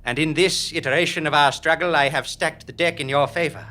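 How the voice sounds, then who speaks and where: A man's voice: fairly high pitch, steady tone, brisk, bright, forceful tone. An actor playing a courteous, formal, portentous male nemesis who rules a false reality in a theatrical audio drama. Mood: resigned, solemn.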